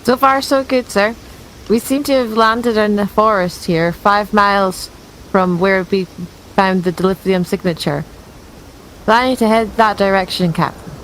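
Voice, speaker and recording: A woman's voice: mid-range voice, fairly even intonation, measured pace, fairly bright tone, a little hiss or hum, poor audio. A woman speaking as a player in a tabletop game, slipping casually into in-character roleplay.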